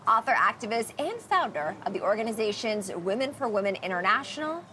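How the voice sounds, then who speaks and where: A woman's voice: a little high, very animated, fairly quick, sharp tone, a little hiss or hum. A woman hosting a news magazine interview. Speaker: interviewer, host.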